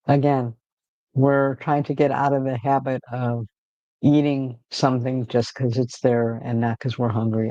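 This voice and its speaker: A man hosting a casual livestream, rambling in a relaxed way with frequent fillers and restarts, talking from home. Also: medium pitch, dry recording, dull audio. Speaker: livestream host.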